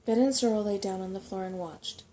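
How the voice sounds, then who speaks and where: A soft-spoken woman reading a children's book aloud as an ASMR bedtime story. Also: mid-range voice, brisk, slight background noise.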